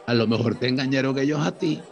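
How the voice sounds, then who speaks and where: A man's voice: mid-range voice, fast talker, muffled recording, lightly acted. A man playing a blunt, teasing friend in a Spanish-language radio soap. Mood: teasing, exasperated.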